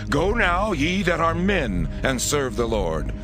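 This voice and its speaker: Imperious king with wide-swinging pitch. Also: huge pitch swings, full character voice. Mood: scornful, commanding. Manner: imperious, dramatic, slow deliberate delivery. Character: proud, angry Egyptian king, stubborn and commanding. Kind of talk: dramatized scripture audio acting. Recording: music under the voice.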